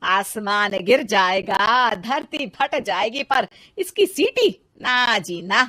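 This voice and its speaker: A woman's voice: medium pitch, lively intonation, takes it slowly, bright, forceful tone, no room sound, exaggerated voice. A woman voicing a strict, scolding middle-aged mother-in-law in a cartoon story, talking in a dramatic way.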